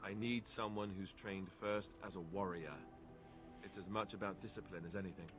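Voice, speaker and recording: A man's voice: deep voice, steady tone, moderate pace, over music, close to an everyday voice. A man playing an affable, wistful young warrior companion in a video game, conversational and self-effacing. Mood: easygoing, obliging. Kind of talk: video game character acting.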